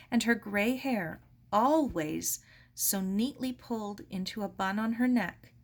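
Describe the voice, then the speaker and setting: A woman reading a children's novel aloud as narrator, in a measured, expressive storytelling manner. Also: mid-range voice. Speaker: story reader.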